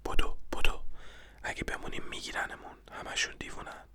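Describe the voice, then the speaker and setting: A narrator voicing a paranoid, agitated man from a stage play in a whispered voice. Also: lightly acted. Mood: frantic, defiant.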